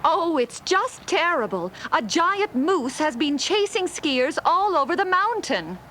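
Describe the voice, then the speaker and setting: A woman's voice: very high-pitched, very animated, a little slow, a bit bright, a little hiss or hum, exaggerated voice. A woman playing a worried, polite ski lodge manager in a children's read-along story. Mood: distressed, pleading. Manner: fretful, pleading. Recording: faint music on old storybook cassette.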